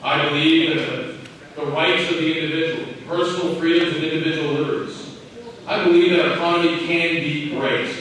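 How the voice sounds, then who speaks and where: American male candidate, earnest and plain-spoken, slightly high-pitched, stump speech heard from off in a reverberant hall. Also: steady tone, brisk, a bit bright. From Maine, United States. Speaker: political candidate. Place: indoor hall at a county caucus. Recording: echoey hall, distant mic, faint noise.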